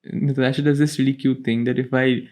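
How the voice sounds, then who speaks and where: Brief: young male co-host, casual rambling chat, mid-pitched, teasing. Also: little pitch movement, a little fast, a little mellow. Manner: rambling, restarts phrases, teasing. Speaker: podcast co-host.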